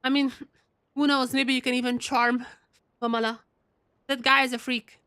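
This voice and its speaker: A woman's voice: high voice, little pitch movement, moderate pace, bright, forceful tone, clean tone, no room sound, studio quality. A woman doing casual live game commentary for her chat, repeating phrases as she thinks aloud, her voice a little worn from a cold. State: getting over a cold, voice wearing out. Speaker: game streamer.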